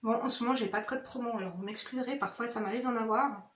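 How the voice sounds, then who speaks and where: Woman, higher-pitched voice, informal makeup-chat vlogger, direct and slangy with the audience, recorded in a noticeably echoey room. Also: fast talker. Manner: familiar, slangy, addresses viewers directly with frequent "hein" tags. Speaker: beauty vlogger. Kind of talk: casual chatty get-ready-with-me makeup chat. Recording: roomy, echoey indoor sound.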